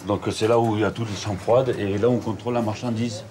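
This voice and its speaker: A man's voice: lowish voice, fairly even intonation, quick pace, warm tone, a little hiss or hum. A middle-aged man, a head chef, explaining his work directly while moving around a hotel kitchen. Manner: direct, instructive, hands-on.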